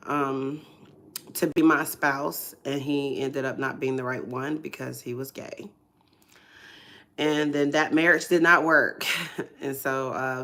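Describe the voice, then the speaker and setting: Hoarse, congested woman with a low voice. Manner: soft-spoken, hesitant, many fillers. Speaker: ministry livestream host. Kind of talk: casual testimony livestream.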